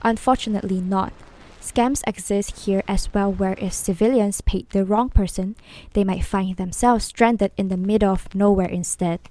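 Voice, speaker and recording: A woman's voice: medium pitch, steady tone, moderate pace, warm tone, lightly acted. A woman playing a composed war expert in a radio news interview, formal and explanatory. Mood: grave, informative.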